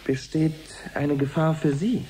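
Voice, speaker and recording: An actor playing an earnest live reporter in a radio drama, speaking in a measured, formal, suspenseful way. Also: male voice, a little high, dark tone, a little hiss or hum, close to an everyday voice, low-quality recording. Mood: earnest, curious. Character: earnest male live reporter following an experiment.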